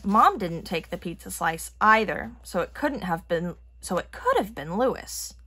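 A woman reads a children's picture book aloud at a slow, deliberate pace. Her delivery is expressive, with a lively, widely moving pitch. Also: breathy edge. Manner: expressive, acting out characters' lines. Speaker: storybook reader.

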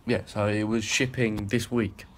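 A man's voice: fairly low pitch, fairly even intonation, a little slow, a little hiss or hum. A young man, rambling and swearing in a casual vlog filmed on a phone held at arm's length. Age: young adult. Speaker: vlogger. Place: small indoor rooms and a hallway.

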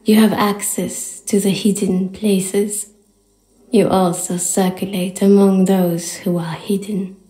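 A woman voicing the tender, otherworldly spirit of an ancient city in a game, breathy, hushed and incantatory, in an echoing space. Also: medium pitch, little pitch movement, slow, lightly acted. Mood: reverent. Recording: echoing, reverberant voice effect.

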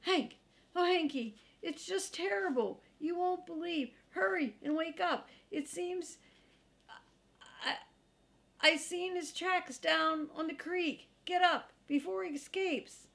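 A woman voicing a timid, excitable small male dog in a storybook, pleading breathlessly with stammers and a squeal. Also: takes it slowly, creaky, heavily acted. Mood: panicked, pleading. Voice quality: high-pitched squeal.

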